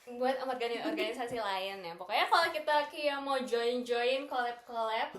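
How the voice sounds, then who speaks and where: A young Indonesian woman with a fairly high voice talks in a casual, chatty podcast style. She slips English and German words into her Indonesian-accented speech. The room gives her voice a clear echo. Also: moderate pace, a bit bright. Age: young adult. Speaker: student association board member and co-host. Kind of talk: casual group podcast chat. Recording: roomy, echoing room sound.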